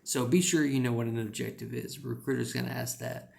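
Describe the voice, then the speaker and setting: A man's voice: mid-range voice, fairly even intonation, a little fast, gravelly. A man with a rural American accent giving casual, rambling advice as an English teacher, with lots of "you know" fillers.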